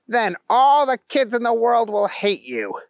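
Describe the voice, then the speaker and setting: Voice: mid-range voice, very animated, a little slow, no room sound, muffled recording, pitched above the performer's own voice. A storyteller voicing a naughty, boastful male bunny villain who taunts and whines in a children's story. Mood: gloating. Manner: taunting, whining, exclaiming.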